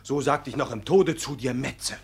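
Young peasant, bitter, hot-headed, husky, curt delivery, classical radio drama. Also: male voice, mid-range voice, moderate pace. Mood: wounded bitterness. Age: young adult. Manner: curt, wounded, scornful. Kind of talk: classical German radio-play acting.

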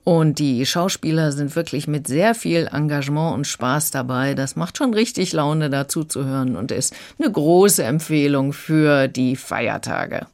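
Woman reviewing audiobooks on radio. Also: sits low. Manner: calm, measured recommending. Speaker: audiobook critic.

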